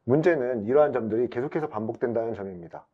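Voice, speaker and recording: A man's voice: medium pitch, fast, phone-quality sound. A man giving a formal, explanatory prepared webinar presentation as an IT specialist.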